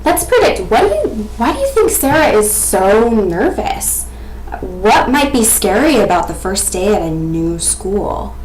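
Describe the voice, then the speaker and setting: An American woman in her twenties giving an expressive, explanatory lesson presentation as a student teacher. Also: mid-range voice, measured pace. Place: small indoor room at home. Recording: echoey room with faint background noise.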